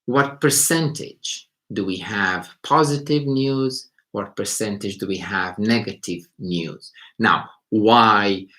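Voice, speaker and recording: A man's voice: lowish voice, a bit bright. A man from Romania, hosting a casual live stream as a psychology teacher and thinking aloud as he talks. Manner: unhurried, thinking aloud, rephrasing as he goes. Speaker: psychology teacher and channel host. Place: indoors in Beijing at night.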